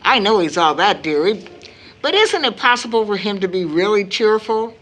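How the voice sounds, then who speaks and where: A woman playing a weary, loving mother of sixty in an audio drama, speaking gently and reflectively. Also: medium pitch, expressive, moderate pace, poor audio. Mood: wistful, worried.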